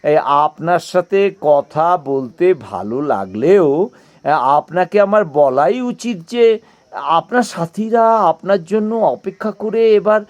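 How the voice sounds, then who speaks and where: A man acts out a courtly retired gentleman in a radio-style romance. He speaks slowly and hesitantly, searching for words, yet his pitch moves expressively as he shyly flirts. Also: lively intonation. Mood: hesitant, courteous. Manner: hesitant, halting, with filled pauses. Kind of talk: audio play dialogue.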